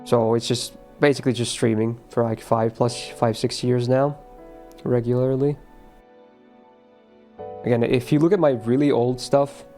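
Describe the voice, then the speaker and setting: A man's voice: mid-range voice, level tone, a little slow, a little mellow, soft background music. A man from Finland with a slight Finnish accent, chatting casually with his audience while streaming a game. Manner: chatty, answers chat, self-aware asides.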